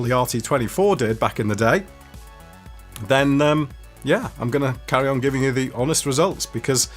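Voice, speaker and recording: A man talks casually and enthusiastically, as a reviewer would to his viewers. His pitch is average for a man, and there is music playing behind his voice. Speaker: product reviewer. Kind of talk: casual walkie-talkie review to camera.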